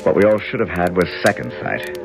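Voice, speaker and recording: A tough American ex-cop in a vintage radio mystery. His voice is very low, his delivery clipped, wry and hard-boiled, with music clearly playing underneath. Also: very animated, brisk, exaggerated voice, low-quality recording. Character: tough, wry cop-turned-lawyer, loyal right-hand man of a senator. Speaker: narrator and character in a radio mystery. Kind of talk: 1970s American radio drama acting.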